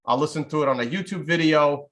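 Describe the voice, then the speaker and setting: A man in his thirties, a native Russian speaker, hosts a loose livestream from a home room over a webcam call. His voice sits a touch high for a man. He wanders between thoughts, restarting sentences and filling gaps with uhs and ums. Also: quick pace, fairly bright tone. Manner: rambling, off-the-cuff, many fillers and restarts. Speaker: trading show host. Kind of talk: casual live-stream chat. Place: home studio room, indoors. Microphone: webcam video call.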